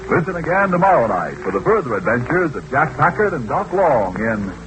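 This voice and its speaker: A man's voice: on the high side, big pitch swings, brisk. A man announcing in a scripted 1940s radio style, as a programme announcer. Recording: noisy old radio recording.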